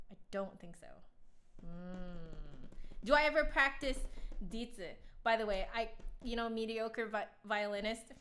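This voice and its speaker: A woman's voice: on the high side, a bit bright, a little hiss or hum. A young adult woman chatting casually on a live stream, rambling with lots of fillers while answering viewers. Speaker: flutist streamer.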